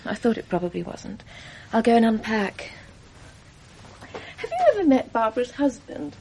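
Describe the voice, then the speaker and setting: A woman's voice: on the high side, sing-song, normal speed. An actress playing a composed, brittle English wife in a radio drama, politely evasive and understated in her speech. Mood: detached composure. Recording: 1980s radio drama, faint background noise.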